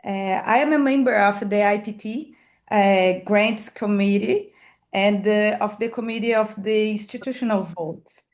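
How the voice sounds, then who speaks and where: A woman from Brazil, a professor, introduces herself on a video call. She keeps to a medium pitch and stops to correct herself. Her speech is full of pauses. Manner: hesitant, with many fillers and self-corrections. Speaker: professor and herbarium curator. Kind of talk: self-introduction on a webinar.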